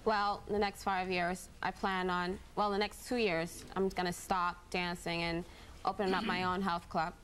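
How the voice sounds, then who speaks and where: A woman in her twenties answering questions on a talk show stage, soft-spoken and unhurried. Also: fairly low pitch, little pitch movement, a little hiss or hum, poor audio. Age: 20s. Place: TV studio stage.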